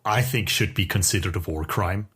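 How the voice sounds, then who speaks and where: A man's voice: deep voice, moderate pace, fairly bright tone, slightly rough. A man co-hosting a podcast in casual conversation, with an opinionated, wry way of talking. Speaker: podcast co-host.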